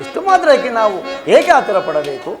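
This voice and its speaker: Very high male voice, lively swoops, acted placating courtier, theatrical stage drama, reverberant hall. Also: brisk, crowd noise. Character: calming, wily warrior courtier counselling patience to his lord. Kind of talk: declamatory mythological stage drama. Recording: reverberant hall, faint music behind.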